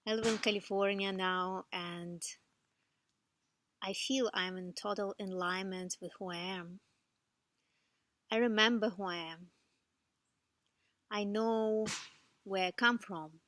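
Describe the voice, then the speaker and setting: A woman in her thirties from Ukraine, English speaker, telling her life story in a soft, reflective, unhurried way with gentle laughs. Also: mid-range voice, fairly even intonation, dry recording. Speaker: vlogger. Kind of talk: personal life story monologue.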